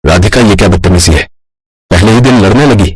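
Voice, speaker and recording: A man playing an earnest young village labourer and son, husky and plain-spoken, in an animated moral story. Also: fairly low pitch, brisk, no room sound, pitched below the performer's own voice, poor audio. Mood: scolding.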